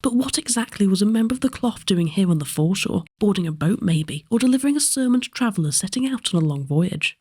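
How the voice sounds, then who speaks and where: A woman's voice: mid-range voice, lively intonation, fast talker, few pauses, warm tone, breathy edge. A woman reading scripted narration as a history presenter.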